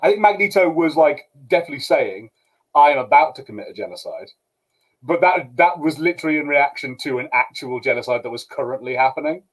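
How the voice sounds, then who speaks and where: A man with a slightly high voice for a male, speaking as a podcast panelist. He argues his opinions emphatically in loose, casual talk and slips into mock dialogue to quote others. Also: lively intonation, a little fast, poor audio. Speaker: podcast panelist discussing a show. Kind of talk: casual opinionated group discussion.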